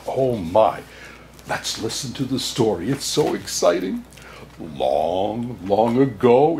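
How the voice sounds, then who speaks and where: Man playing jolly, animated old Santa Claus. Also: mid-range voice, a little hiss or hum. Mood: jolly, welcoming. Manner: hearty chuckles and frequent "oh my" exclamations. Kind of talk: children's storybook read-aloud with asides to listeners.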